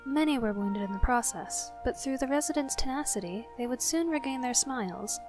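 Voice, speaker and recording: A woman's voice: high-pitched, lively intonation, fairly quick. A woman giving scripted story narration. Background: music.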